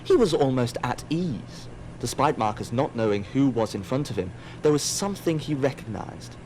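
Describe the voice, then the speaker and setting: A man's voice: mid-range voice, expressive, moderate pace, a little mellow, low-quality recording. Wry male narrator over faint noise. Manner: wry, theatrical. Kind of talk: scripted audio drama narration.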